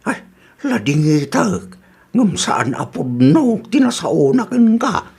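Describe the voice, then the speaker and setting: A man's voice: sits high, sing-song, slow, vocal fry, darkish tone, husky, full character voice. A man playing an elderly, knowing grandparent in a radio drama, speaking with an Ilocano accent. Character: elderly grandparent confirming hard truths.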